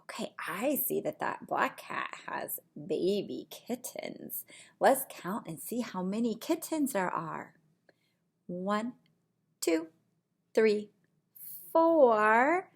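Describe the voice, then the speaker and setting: A middle-aged woman reading a picture book to young children in a deliberate, sing-song way, with simple asides, recorded in a room at home. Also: mid-range voice, animated, vocal fry, husky. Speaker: preschool teacher reading aloud. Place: small indoor room at home.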